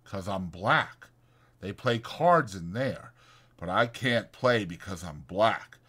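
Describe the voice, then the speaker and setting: A man's voice: fairly low pitch, lively intonation, slow pace, fairly bright tone, heavily acted. A man voicing a proud, aloof, crippled stable hand who grumbles sharply in speech. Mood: bitter, defiant.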